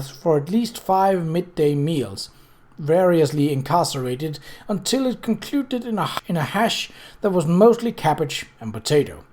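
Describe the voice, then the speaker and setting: Expressive amateur-style story narrator, stumbling at times. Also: mid-range voice. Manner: stumbles over words and rereads them. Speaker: audiobook reader. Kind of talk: scripted narration, reading a novel aloud.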